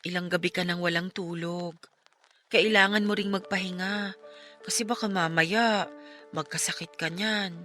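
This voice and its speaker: A caring friend in a radio drama, consoling someone in grief. Her voice is bright and clear-cut, earnest and steadfastly reassuring. Music runs softly underneath. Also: on the low side, steady tone, moderate pace. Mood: concerned.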